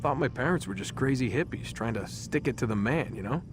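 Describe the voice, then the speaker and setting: A man's voice: mid-range voice, lively intonation, fairly quick, lightly acted. An actor playing a guarded, wry man in his twenties, casual and laconic, in a video game cutscene. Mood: wry, reflective. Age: 20s. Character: guarded, wry young man held captive, casual ex-assassin. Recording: music behind the voice.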